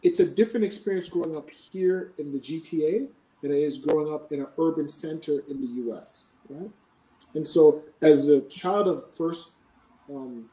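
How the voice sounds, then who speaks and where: A Canadian man answering interview questions in a measured, explanatory way, often checking in with "right?". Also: fairly high pitch, mellow, roomy sound, distant mic, rough recording. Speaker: podcast guest.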